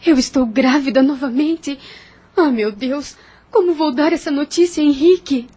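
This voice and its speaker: A woman playing a gentle, loving young wife in a Brazilian audio drama, with a Brazilian accent. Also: sits high, moderate pace, natural voice. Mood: anxious. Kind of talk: radio novela acting.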